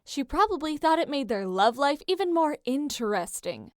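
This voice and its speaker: A woman narrator reads fiction in a dramatic style. Her voice sits fairly high, and the recording is dry and free of room sound. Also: no echo. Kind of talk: dramatic scripted story narration.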